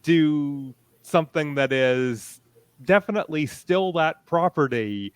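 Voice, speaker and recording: Male panelist, unhurried and halting delivery, smooth clear tone, close dry sound. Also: fairly high pitch, no room sound. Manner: halting, pausing mid-sentence, explanatory. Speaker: convention panelist. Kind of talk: panel discussion of audio dramas.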